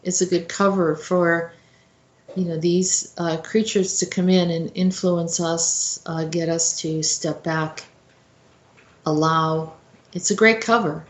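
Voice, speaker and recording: A woman giving an informal explanatory talk as a host, in a halting, reflective manner. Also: on the low side, fairly even intonation. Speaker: host commentator. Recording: roomy, echoey sound.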